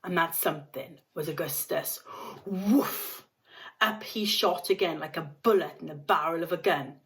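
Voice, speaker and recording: A woman's voice: fairly low pitch, a little slow, fairly bright tone. A woman, a teacher reading aloud, narrating a children's story expressively.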